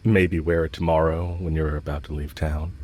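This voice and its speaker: A man's voice: a bass voice, level tone, normal speed, a little mellow, a little hiss or hum. A man playing a cocky, smitten villain who talks casually, frankly and teasingly to the listener in a romantic audio roleplay. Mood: fond, flirtatious. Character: smitten male villain, cocky, teasing, bluntly confessing love.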